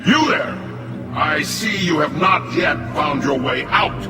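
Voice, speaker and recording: An actor playing a rigid, menacing prison warden, declamatory and officious, in a scripted video game. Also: male voice, fairly high pitch, expressive, takes it slowly, echoey room. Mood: stern warning. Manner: declamatory, officious, sharply emphatic. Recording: loud music behind the voice.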